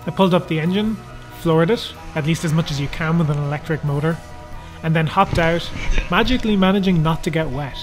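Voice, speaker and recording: Higher-voiced man narrating a vlog over music. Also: brisk. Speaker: sailing vlogger.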